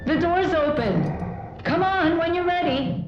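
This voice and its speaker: A woman's voice: very high voice, animated, a little slow, highs cut off, background noise. An actress playing an imperious, sardonic female scientist with a condescending, teasing manner in a video game cutscene. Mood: mocking, brisk. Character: sardonic, imperious female scientist, mockingly sweet and self-amused. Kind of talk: dramatic game cutscene acting. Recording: echoey space with music behind. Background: music.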